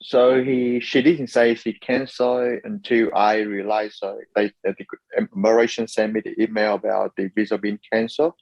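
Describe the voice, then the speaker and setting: A young man from Vietnam speaking halting English with a Vietnamese accent, answering interview questions. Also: on the low side, flat delivery, darkish tone, no echo. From Ho Chi Minh City, Vietnam. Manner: hesitant, halting English with fillers. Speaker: interview guest. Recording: slightly dull, lo-fi audio.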